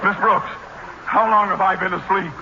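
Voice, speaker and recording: Heavily hissing, muffled radio-play recording. Actor as a stuffy, nervous man, formal diction, flustered and halting. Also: sits high, steady tone, lightly acted. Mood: alarmed. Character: pompous, jittery man doubting his own sanity. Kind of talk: old-time radio comedy acting. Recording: noisy, dull old-time radio recording.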